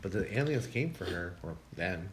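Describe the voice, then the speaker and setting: A man's voice: deep voice, expressive, normal speed, slight background noise. A man co-hosting a casual podcast, joking and teasing his partner. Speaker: podcast co-host. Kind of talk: casual chat.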